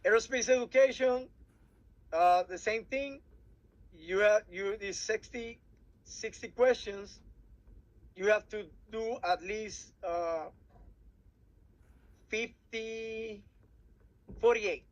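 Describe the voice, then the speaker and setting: High-voiced Puerto Rican man briefing cadets. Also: low-quality recording. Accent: Puerto Rican Spanish. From Puerto Rico. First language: Spanish. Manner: halting, many restarts and repeated words. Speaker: cadet program instructor. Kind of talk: workshop briefing.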